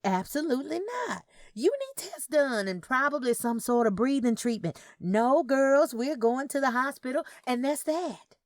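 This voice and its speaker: A woman's voice: high-pitched, very animated, moderate pace, hardly any pauses, no echo, clean studio sound, voice pushed up. A woman voicing a firm, no-nonsense woman with an insistent manner in an audio story. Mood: firm, bossy. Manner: insistent, bossy. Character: firm, no-nonsense Southern woman looking after elderly twins. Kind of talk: audio fiction character dialogue.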